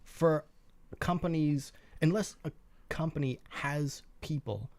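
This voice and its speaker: A man's voice: on the high side, slow pace, gravelly, darkish tone, no echo. A man co-hosting a gaming show, commenting casually and informally, often chiming in with short agreements. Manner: informal, frequently interjecting agreement. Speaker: co-host of a weekly tabletop gaming news show.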